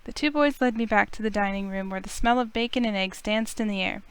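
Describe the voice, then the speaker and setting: A woman with a smooth, clear voice, reading a visual novel aloud as expressive first-person story narration. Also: medium pitch, a little fast.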